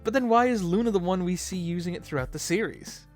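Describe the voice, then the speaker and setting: Video commentary host, casual and expressive, swooping intonation, music bed. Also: mid-range voice, fast talker.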